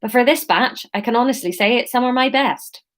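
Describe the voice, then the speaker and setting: A woman's voice: a little high, brisk, pitched above the performer's own voice, lightly acted. A woman voicing a friendly female market seller with a put-on Somerset accent and homely sales patter. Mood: cheery selling.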